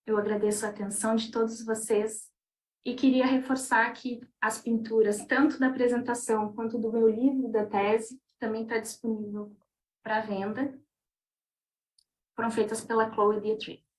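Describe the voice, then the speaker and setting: A woman wrapping up her presentation over a video call with polite, measured closing thanks. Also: fairly high pitch, flat delivery, a little mellow, rough recording. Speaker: presenter finishing her talk. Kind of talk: formal closing remarks.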